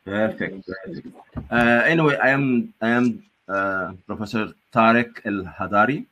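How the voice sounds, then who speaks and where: A man's voice: on the low side, unhurried, pushed and bright, slight background noise, rough recording. A man chairing an academic conference session on a video call, chatting casually with many fillers and restarts.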